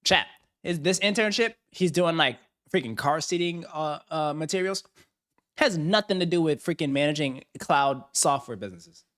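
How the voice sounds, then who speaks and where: A man's voice: on the high side, animated, moderate pace, a bit bright. A man hosting a livestream, reacting to a video with hyped, slang-heavy banter and frequent laughs. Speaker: streamer host. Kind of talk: casual livestream commentary.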